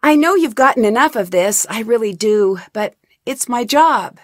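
A young woman detective in a game, polite and curious, presses people with earnest questions, as a woman voices her. Her voice sits a little high. The studio recording is dry and close, with no room sound. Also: animated, normal speed, no echo, lightly acted. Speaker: voice actor. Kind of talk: game voice lines. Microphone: studio mic, dry.

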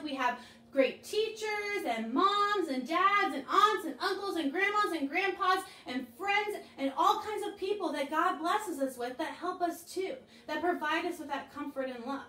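A young woman with a high voice leads a children's devotional and craft lesson. She speaks brightly and animatedly to the kids, seated on a living room couch. She is recorded a few feet from the camera, so the room echoes around her voice. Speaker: children's chapel leader. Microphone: camera a few feet away. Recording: echoey room, mic at a distance.

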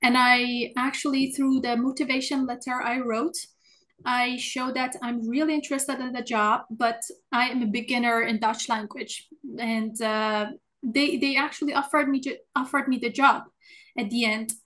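A woman's voice: a little high, steady tone, moderate pace, fairly bright tone. A woman speaking as an alumna on a webinar panel over a video call, answering earnestly with frequent hesitations. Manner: earnest, hesitant, many uh fillers.

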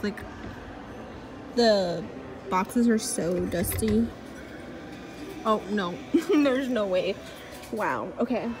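A young woman vlogging casually in a large indoor mall, chatty and pleading excitedly at a claw machine, recorded on a handheld phone. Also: on the high side. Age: young adult. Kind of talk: casual vlog commentary. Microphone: phone camera at arm's length.

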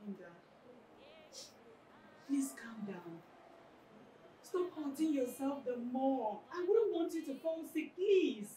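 An actress playing a caring young friend, soothing and reassuring. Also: female voice, sits high, very animated, slow pace, long pauses, dark tone, full character voice. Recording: echoey room, distant mic, noisy.

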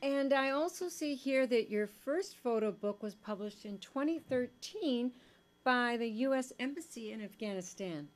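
A middle-aged woman hosting a studio talk show, introducing her guest in a clear, measured way at a desk microphone. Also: a little high, lively intonation. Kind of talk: scripted TV interview intro.

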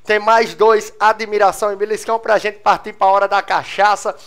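A man's voice: high-pitched, flat delivery, moderate pace, fairly bright tone, clean tone. A young man hosting a local talk show, teasing his guest with jokes, on a handheld mic in a small TV studio. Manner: teasing, jokey, laughs often. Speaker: host. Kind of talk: lively talk show interview. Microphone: handheld microphone.

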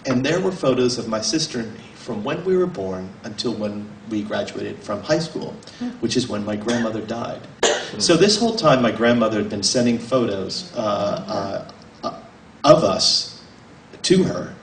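A man in his forties, an author, giving a casual, halting talk with many fillers and restarts to a small audience in a library. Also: on the low side, lively intonation, vocal fry, slight background noise. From United States. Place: library room, indoors. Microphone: table mic on a stand, filmed from a distance. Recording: roomy, mic at a distance.

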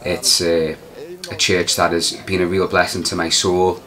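A young man, a street preacher, reflecting haltingly in an interview. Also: sits low, level tone, bright, forceful tone. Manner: reflective, hesitant with restarts. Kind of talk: documentary interview.

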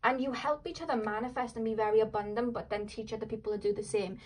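A woman's voice: a little high, fairly even intonation, quick pace, darkish tone. A young woman with a Welsh accent giving a casual tarot reading, chatting in a rambling way full of fillers and "like". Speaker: tarot reader.

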